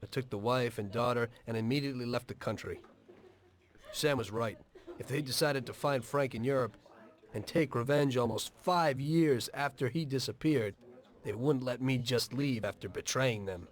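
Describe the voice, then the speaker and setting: Game role: American ex-gangster played by a man, middle-aged, mid-range pitch, plain-spoken, understated and weary. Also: moderate pace, natural voice. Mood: frank, guarded. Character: weary, matter-of-fact ex-mobster telling his life story. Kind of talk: dramatic video game dialogue and narration.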